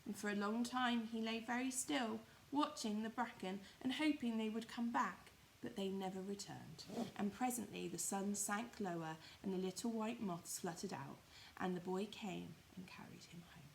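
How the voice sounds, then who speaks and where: A woman's voice: medium pitch, moderate pace, gravelly, slight background noise, rough recording. A woman reading a children's story expressively, with emphatic, dramatised phrasing. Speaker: primary school storyteller.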